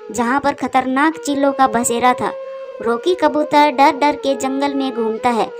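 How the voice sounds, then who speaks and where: A woman reading scripted narration for a children's moral-story cartoon. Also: high voice.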